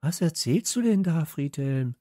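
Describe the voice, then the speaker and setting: Casting: assertive young girl, children's story, raised lilting pitch played by a man. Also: sing-song, normal speed, vocal fry, warm tone, breathy edge, no echo, studio quality, full character voice. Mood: sceptical, challenging. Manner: pert, challenging. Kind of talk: children's audiobook character voice.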